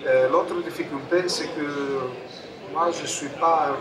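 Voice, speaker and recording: A native Greek man with a Greek accent giving a public talk, halting and repeating his phrases. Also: a little high, steady tone. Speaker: photographer and panel speaker. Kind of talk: public talk at a book fair, introducing a debate. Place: busy book fair stand. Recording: echoey, distant mic, crowd noise.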